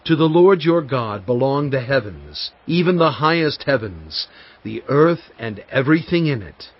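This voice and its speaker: An actor playing a solemn prophet in dramatized scripture, exhorting his people in earnest, measured oratory with weighty pauses. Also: medium pitch, big pitch swings. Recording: dull studio track over faint music.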